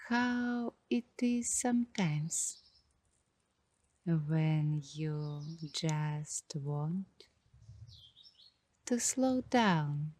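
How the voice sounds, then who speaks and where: Soft hushed asmr lady, kinda low voice, super dry close sound, dreamy little phrases. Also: no room sound. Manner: slow, dreamy, halting short phrases. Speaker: ASMR host. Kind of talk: ASMR guided coloring narration.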